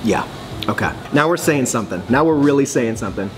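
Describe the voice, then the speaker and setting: A man in his forties vlogging in a bright coffee shop, excitable and gushing, laughing often as he tastes dishes. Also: medium pitch, fast talker, a crowd in the background. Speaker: food vlogger. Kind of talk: casual food review chat. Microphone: phone camera at arm's length.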